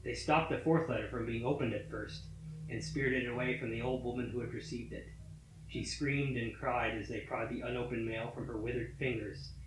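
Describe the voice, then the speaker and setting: A man reading a scripted horror story in a measured, matter-of-fact manner. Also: medium pitch, slight background noise. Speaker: story reader. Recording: roomy echo. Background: faint music.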